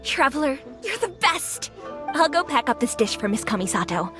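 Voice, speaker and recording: A young restaurant owner from a video game, friendly and excitable. The voice is high and chirpy, with lively swings in pitch and faint music underneath. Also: female voice, moderate pace. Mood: excited. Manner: chirpy, enthusiastic. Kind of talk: video game character acting.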